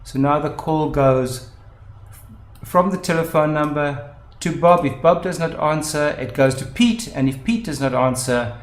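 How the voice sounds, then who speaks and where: A man presenting a software tutorial, explaining methodically step by step. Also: on the high side, a little slow. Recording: roomy, echoing voice-over.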